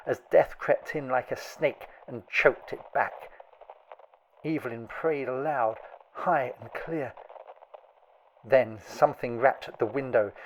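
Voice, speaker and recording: A man narrating a gothic horror audiobook in a grave, deliberate, theatrical style. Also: medium pitch, slight background noise, rough recording.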